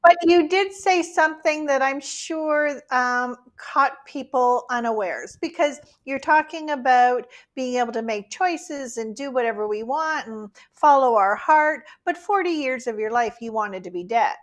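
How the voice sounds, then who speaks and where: High-voiced, slow-talking woman hosting. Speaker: talk show host. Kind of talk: conversational interviewing.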